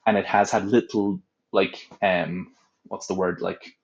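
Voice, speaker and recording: A man's voice: low voice, fairly even intonation, a little slow, creaky, highs cut off. A young adult man, an audiobook voice actor, chatting casually in an interview with lots of filler words. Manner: rambling, many filler "like"s.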